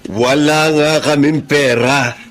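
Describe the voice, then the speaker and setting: An actor playing an earnest, gentle middle-aged husband and father with a Filipino accent and measured, formal phrasing. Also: fairly high pitch, fairly even intonation. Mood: firm, explaining. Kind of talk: Filipino radio drama acting.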